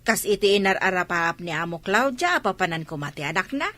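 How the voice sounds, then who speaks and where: A woman's voice: on the low side, very animated, a little fast, pushed and bright, dry recording. A woman with an Ilocano Filipino accent playing a nosy, gossipy village woman in an audio drama. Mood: needling, nosy. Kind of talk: amateur audio drama acting.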